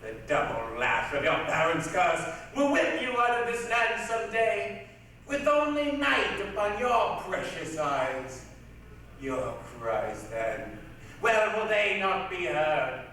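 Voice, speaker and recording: Far from the microphone in a very reverberant hall, a man plays an old blind seer of stage tragedy. He is bitter and defiant, pronouncing doom in a high, bright, weighty voice. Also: sing-song, exaggerated voice. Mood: accusing, ominous. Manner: declamatory, deliberate, weighty. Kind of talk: readers' theatre tragedy acting. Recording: echoey hall from far off, faint noise.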